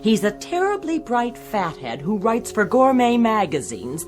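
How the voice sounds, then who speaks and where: A woman playing an exasperated, sharp-tongued secretary, sarcastic and articulate, in a 1960s television drama. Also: fairly high pitch, very animated, measured pace, music in the background. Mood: mocking. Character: exasperated, sharp-tongued female secretary fed up with her employer.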